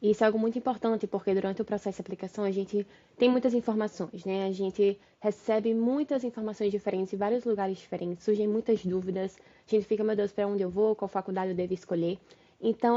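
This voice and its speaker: A woman's voice: medium pitch, dark tone. A young Brazilian woman hosting a podcast interview, asking friendly, upbeat questions. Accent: Brazilian Portuguese. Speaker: podcast host, marketing analyst. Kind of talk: casual interview chat. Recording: dull lo-fi sound.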